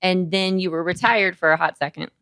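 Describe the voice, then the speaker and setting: Woman podcast host, kinda low voice, really dry close sound, chatty interview style. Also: fairly even intonation, a little fast, fairly bright tone, smooth, no echo, rough recording. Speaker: podcast host, OB-GYN doctor.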